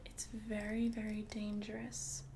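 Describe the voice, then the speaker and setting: An ancient witch, played by a woman, murmurs in a soft, breathy ASMR whisper. The delivery is unhurried and barely varies in pitch, confident and soothing as potions are brewed. Noticeable background noise sits under the voice. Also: completely flat delivery. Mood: calm, instructive. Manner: slow, deliberate, soothing, repeats words for emphasis.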